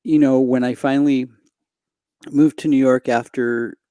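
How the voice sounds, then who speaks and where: Slow, mellow-voiced hesitant man. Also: mid-range voice, steady tone, clear, resonant tone, dry recording, clean studio sound. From Los Angeles, United States. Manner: hesitant, many fillers and restarts. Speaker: singer guest. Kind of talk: reflective conversation. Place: home room indoors. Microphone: headset and desk mic on video call.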